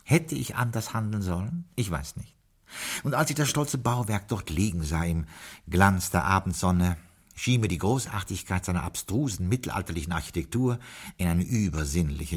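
An actor playing a proper, deferential butler who also narrates the story to the audience in a 1950s German radio drama. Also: male voice, sits low, husky. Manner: deferential, hesitant, wry. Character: dry, proper English butler.

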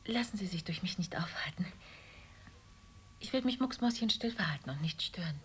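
An actress playing a flustered, anxious neighbour in a radio play, apologetic and effusively polite. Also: female voice, lowish voice, animated, fairly quick, close to an everyday voice. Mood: polite, eager. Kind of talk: German radio play acting.

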